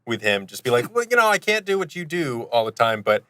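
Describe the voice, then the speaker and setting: A man's voice: mid-range voice, sing-song, quick pace, sharp tone, no echo. A man bantering casually as an interview guest, with a joking, self-deprecating way of talking. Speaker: interview guest, audio drama creator.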